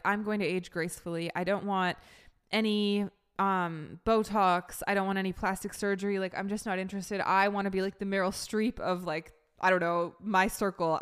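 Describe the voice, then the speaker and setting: A woman's voice: mid-range voice, clean tone. A woman about to turn thirty-one, talking candidly in a casual podcast monologue, full of "like" and casual swearing. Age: 30s. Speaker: podcast host.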